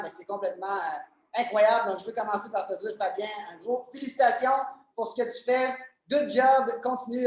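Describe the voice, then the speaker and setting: A young man with a strong Québécois accent talks eagerly as a guest, mid-pitched for a man. He stumbles through repeats and fillers. His voice rings in a very echoey room. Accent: Québécois French. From Quebec, Canada. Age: 20s. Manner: enthusiastic and joking, many fillers and repeated words. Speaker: guest, MLM coach. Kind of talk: casual interview chat.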